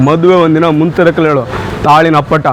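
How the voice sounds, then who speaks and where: A man's voice: a little high, level tone, brisk, a little hiss or hum, natural voice. A man acting an obsessive, menacing lovestruck tough-guy hero, reciting emphatic film dialogue in short punchy phrases. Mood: menacing, possessive.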